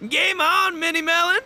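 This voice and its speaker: An actor voicing a brash, boisterous young oni gang leader in a video game, swaggering and slangy. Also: male voice, very high voice, bright, forceful tone, heavily acted. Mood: cocky challenge.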